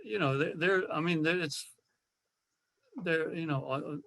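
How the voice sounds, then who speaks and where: A man speaking informally and haltingly in an online club meeting, often repeating his words as he makes a suggestion. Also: a little high, steady tone, gravelly, a bit bright, no room sound. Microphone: video call.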